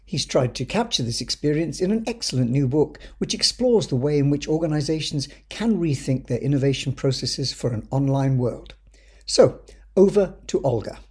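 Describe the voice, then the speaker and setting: A man with a husky voice reading a scripted podcast introduction as its host. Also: a little high, expressive, moderate pace, darkish tone.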